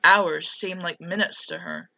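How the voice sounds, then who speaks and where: Unhurried lower-voiced woman reading a game aloud. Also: steady tone, vocal fry, bright, forceful tone. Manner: dramatic read-aloud, breaking off into casual asides. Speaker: video game streamer and narrator. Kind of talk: reading a visual novel aloud with side comments. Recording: dull lo-fi mic with music behind.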